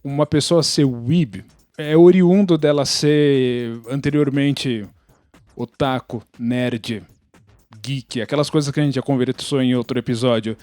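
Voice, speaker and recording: A man's voice: medium pitch, steady tone, a little slow, darkish tone, no room sound, faint music behind. A Brazilian man explaining things casually on a podcast, often checking in with tag questions. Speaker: podcast panelist.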